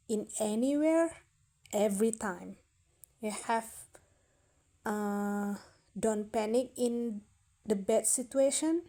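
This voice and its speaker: Very slow-talking Indonesian woman. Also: mid-range voice, warm tone. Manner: halting, searching for words, many fillers and restarts. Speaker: vlogger. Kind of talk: casual storytelling to camera.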